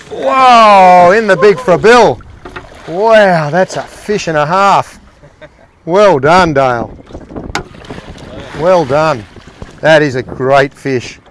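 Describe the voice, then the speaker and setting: A middle-aged man hosting a fishing show, giving excited, exclaiming commentary from a small boat. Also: sits high, slow, pauses often, a little hiss or hum. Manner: enthusiastic, exclaiming, talks to the fish and his mate.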